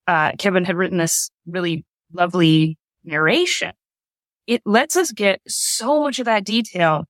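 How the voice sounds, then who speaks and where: A woman's voice: medium pitch, dry recording. A woman speaking as an interview guest, explaining creative work in a casual, conversational style.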